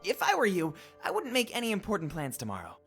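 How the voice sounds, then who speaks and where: An actress playing a playful, chatty, theatrical scholar in a fantasy visual novel. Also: female voice, mid-range voice, wildly swooping pitch, fast, fairly bright tone, faint music behind. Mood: cheerful, playful.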